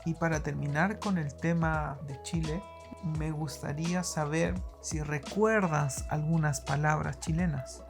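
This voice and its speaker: Woman host, really low voice, short questions, bit of music behind. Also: steady tone, measured pace, gravelly. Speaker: interviewer. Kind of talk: friendly interview questions.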